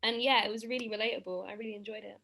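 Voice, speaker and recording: A woman's voice: medium pitch, fairly even intonation, rapid-fire, gravelly, sharp tone. A woman giving casual feedback as a participant in a script discussion.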